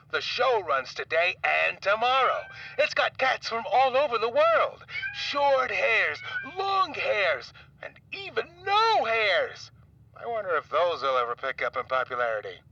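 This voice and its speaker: Bright, gushing young radio reporter. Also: male voice, high voice, far from the mic, highs cut off, heavily acted. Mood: upbeat, announcing. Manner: gushing, chummy showman patter. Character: upbeat flattering young male small-town radio reporter. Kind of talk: 1980s radio field interview in an audio comedy.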